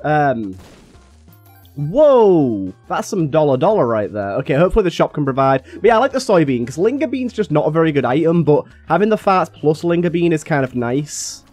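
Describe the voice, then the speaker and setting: A young man with a slightly raspy, under-the-weather voice and a somewhat high pitch chats his way through a game run, thinking aloud. His voice sits close and dry on the mic, with faint game music underneath. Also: big pitch swings, moderate pace, a little mellow, no room sound. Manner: chatty, thinks aloud while playing. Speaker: gaming YouTuber. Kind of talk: casual gameplay commentary.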